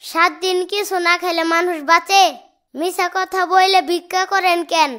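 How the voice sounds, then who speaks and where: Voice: extremely high pitch, flat delivery, normal speed, sharp tone. A performer voicing a cheeky, stubborn little cartoon boy, bold and argumentative, with a Bangladeshi accent. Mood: insistent.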